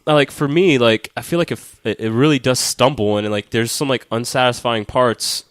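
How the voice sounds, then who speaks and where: A man's voice: medium pitch, moderate pace, no echo, clean studio sound. A man co-hosting a film podcast, casually arguing his points with swearing and emphatic repetition.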